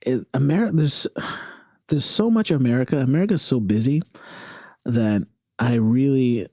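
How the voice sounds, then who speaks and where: A man raised in southern Africa and Virginia talks casually as an interview guest, halting and correcting himself as he thinks aloud. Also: mid-range voice, dark tone, dry recording, clean studio sound. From southern Africa (South Africa, Zambia) and Virginia, US. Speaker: interview guest, singer-songwriter.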